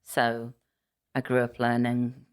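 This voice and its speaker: A woman's voice: very deep voice, monotone, slow, clean tone. A middle-aged woman from Liverpool, raised speaking Welsh first, with a Welsh-tinged accent, talking hesitantly as an interview guest. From Liverpool, England. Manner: soft-spoken, hesitant with many ums. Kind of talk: casual chat.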